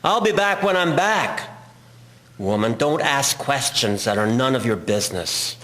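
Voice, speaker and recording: Male actor as domineering husband, harsh, scolding, commanding, medium-pitched, period radio play. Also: animated, unhurried, background noise, close to an everyday voice. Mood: stern, menacing. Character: harsh, domineering, abusive husband in 1910s rural household. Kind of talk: dramatic historical audio play acting.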